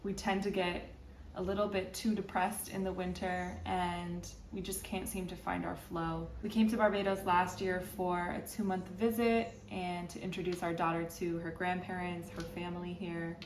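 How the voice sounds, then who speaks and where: A woman's voice: fairly low pitch, little pitch movement, normal speed, a little hiss or hum. Reflective woman vlogger, roomy and distant. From California. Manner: earnest and reflective, gentle motivational tone. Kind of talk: casual personal vlog.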